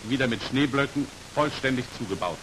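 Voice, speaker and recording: A man's voice: medium pitch, a little fast. A man narrating a 1940s instructional film in a formal, precise, matter-of-fact voice, on an old hissy soundtrack. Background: steady hiss.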